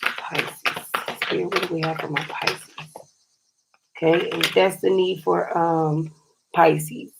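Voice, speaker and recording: Low, flat-voiced woman with a raspy edge. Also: slow pace, pauses often, heavy background noise. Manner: chatty and encouraging, often says "you guys". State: slightly scratchy, raspy voice. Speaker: tarot card reader. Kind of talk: casual tarot reading for viewers.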